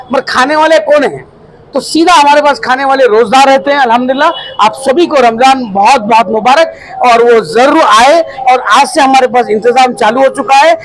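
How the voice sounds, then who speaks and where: Young Hyderabadi man, very high voice, hammy over-the-top pitch, crowded small eatery, handheld mics, faint noise. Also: fairly bright tone, low-quality recording. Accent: Hyderabadi Hindi-Urdu. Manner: theatrical, comic, exaggerating, stretched-out words. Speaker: restaurant owner promoting his food court. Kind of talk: energetic promotional speech to news cameras. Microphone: cluster of handheld news mics.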